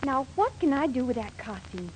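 High-voiced, plucky young American woman. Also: big pitch swings, moderate pace. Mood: eager, scheming. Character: plucky, scheming young sweetheart living with her mama. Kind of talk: 1940s radio sitcom acting. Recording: hissy 1940s radio recording.

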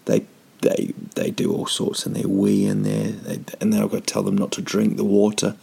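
A man's voice: on the low side, big pitch swings, moderate pace, vocal fry, mellow, breathy edge. A man in his thirties, rambling through a comic vlog with dry, self-mocking asides and lots of ums. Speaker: vlogger.

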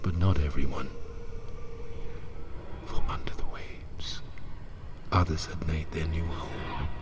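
Very deep, haunted father. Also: exaggerated voice. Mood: hushed, mournful. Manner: hushed, breathy, slow and deliberate. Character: haunted, resigned father speaking a final confession. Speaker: narrator of an audio drama. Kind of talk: dramatic monologue, radio-log sign-off. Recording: audio drama with clear background ambience.

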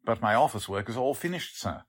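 Male actor as humble office clerk, raspy edge, polite, earnest, hesitant, vintage radio drama. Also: medium pitch, lively intonation, a little fast. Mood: deferential. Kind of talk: 1940s radio drama acting.

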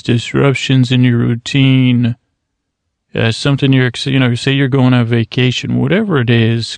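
A man hosting a bedtime podcast who rambles in a meandering, halting way, stretching out some words slowly to lull listeners to sleep. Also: mid-range voice, creaky, no echo. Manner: meandering, halting, drifting into slow drawn-out words. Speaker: podcast host.

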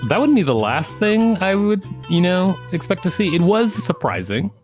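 A man's voice: high voice, big pitch swings, moderate pace, darkish tone. A man hosting a podcast, reading aloud and chatting casually. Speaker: podcast host. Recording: music playing behind the voice.

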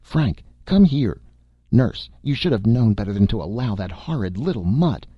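A man's voice: fairly low pitch, big pitch swings, moderate pace, breathy edge, dry recording, dull audio, voice pushed up. A man voicing a haughty, exasperated young society wife and mother, snappish and imperious, in an audiobook story. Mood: indignant, shrill.

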